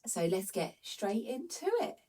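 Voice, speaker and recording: A woman leads a slow Pilates class in a gentle, steady voice, her nose a little stuffed up from hay fever. Her voice is picked up from some distance from the mic. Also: medium pitch, breathy edge. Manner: calm, gentle cueing. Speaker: Pilates instructor. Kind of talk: guided follow-along workout instruction.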